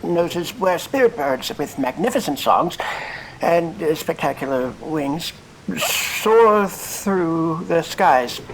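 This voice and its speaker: An actor playing a celebrated explorer in a fantasy audio drama, giving grandiose, halting, theatrical speeches to an adoring crowd. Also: male voice, a little high, lively intonation, slight background noise, low-quality recording. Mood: grandiose, wistful.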